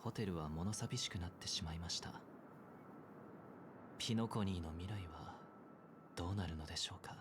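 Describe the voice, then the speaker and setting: A man's voice: sits low, racing, long pauses, background noise, lightly acted. An actor playing a reserved, philosophical young man in an anime-style game, formal, courteous and melancholy. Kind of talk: Japanese anime-game voice acting.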